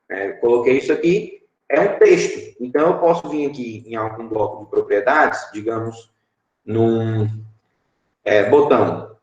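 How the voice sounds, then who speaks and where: A man in his thirties with a Brazilian Portuguese accent, a teacher explaining app-building blocks in an online class, often pausing with fillers and checking in with his students. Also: medium pitch. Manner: explanatory, frequent filler "é" and tag "certo?". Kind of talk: online class screen-share tutorial. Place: home room, indoors. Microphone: webcam video call. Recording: echoey room.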